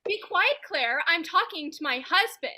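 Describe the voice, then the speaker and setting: A woman's voice: very high-pitched, animated, moderate pace, bright, forceful tone, exaggerated voice. A woman playing a scorned, imperious and theatrical wife in a melodramatic radio soap parody. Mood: commanding.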